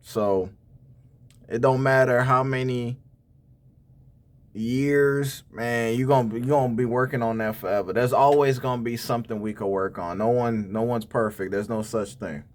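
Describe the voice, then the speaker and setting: A man in casual reaction-video commentary who talks like he is preaching, full of slang and direct advice. His voice sits at an ordinary middle pitch, and his lines often drop in tone as he gets serious. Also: fairly even intonation, normal speed. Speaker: reaction channel host.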